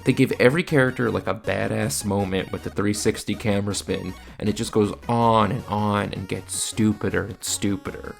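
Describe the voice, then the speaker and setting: Low-voiced man narrating a blunt review. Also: steady tone, normal speed, vocal fry, few pauses, faint music behind. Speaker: video reviewer, host. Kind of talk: scripted review narration with casual asides.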